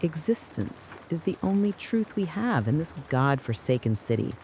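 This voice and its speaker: A narrator delivering a scripted, dramatic late-night soliloquy in a deliberate, brooding way, speaking straight to the listener. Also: mid-range voice, big pitch swings, a little mellow, slight background noise. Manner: slow, deliberate, brooding second-person address.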